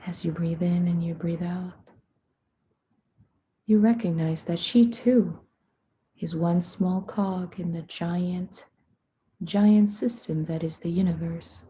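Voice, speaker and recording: A woman guides a meditation in a very breathy, hushed voice with a deeply mellow, dark tone. She speaks slowly and soothingly, leaving long pauses between short phrases. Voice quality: soft, breathy.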